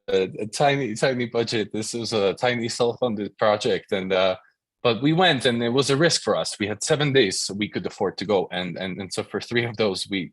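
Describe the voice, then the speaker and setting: A man from the Czech Republic, a filmmaker speaking on an online panel, halting with many restarts and repeated words. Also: fairly low pitch, no echo. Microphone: video call.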